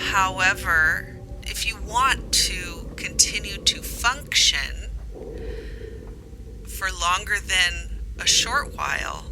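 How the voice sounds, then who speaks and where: Woman playing a slow, deliberate spaceship doctor. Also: fairly low pitch, noisy background. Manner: careful, deliberate, formal. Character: measured, reassuring doctor of a spaceship crew.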